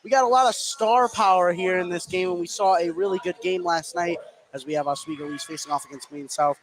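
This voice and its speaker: A man with a notably high-pitched voice calls live basketball play-by-play and sets up the game for listeners. Faint noise sits behind his voice on the broadcast. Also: brisk, rough recording. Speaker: play-by-play commentator.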